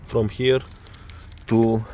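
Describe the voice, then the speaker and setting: Romanian guy explaining bike repair in english, really slow, lots of uhs, bit of motor noise behind. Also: medium pitch, little pitch movement, mellow. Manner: halting, many fillers, searching for words. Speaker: motorcycle tutorial maker. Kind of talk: hesitant spoken tutorial.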